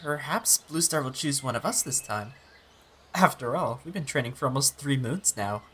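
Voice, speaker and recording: A man plays an earnest, eager young tomcat apprentice. His voice is bright and tense, with a husky grain. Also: medium pitch, expressive, moderate pace. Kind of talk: fan audiobook voice acting.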